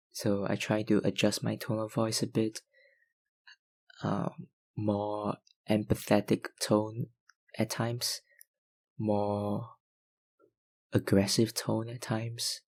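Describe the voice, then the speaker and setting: A young man, an ASMR YouTuber, chatting casually through viewer questions, hesitant with many fillers and soft laughs. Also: on the low side, little pitch movement, very slow, gravelly, pauses often. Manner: hesitant, lots of ums and likes, soft laughs. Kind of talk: casual Q&A chat.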